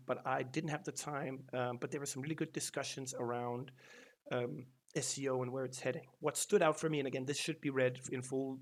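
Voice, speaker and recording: A man hosting a casual news roundup on his channel, talking loosely, with many ums and false starts. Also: medium pitch. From Denmark. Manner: loose and rambling, lots of ums and restarts. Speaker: SEO news channel host.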